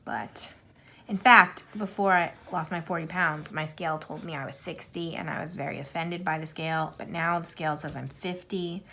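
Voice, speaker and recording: A woman in her thirties talks casually to camera in a slightly low voice. She rambles, fills pauses with "like" and "I don't know," and makes fun of herself as she goes. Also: steady tone, gravelly, bright, forceful tone. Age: 30s. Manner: rambling, self-deprecating, chatty with many fillers. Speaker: YouTuber answering a tag questionnaire. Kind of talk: casual chat while coloring.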